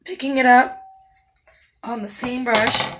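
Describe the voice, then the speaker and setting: A woman with a naturally raspy voice that is hoarse and cutting in and out, pitched a touch high. She talks through a makeup tutorial in a calm, instructive way. Now and then she drops into soft asides while she works. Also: takes it slowly, noisy background. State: hoarse, voice going in and out. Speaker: makeup artist.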